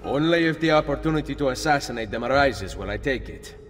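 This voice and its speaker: A man plays a determined assassin leader with an Italian accent. He speaks in measured, grave phrases with a wide swing in pitch, set in long reverb over faint music, like a game cutscene. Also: lively intonation, natural voice. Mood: grave, resolute. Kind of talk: video game cutscene acting.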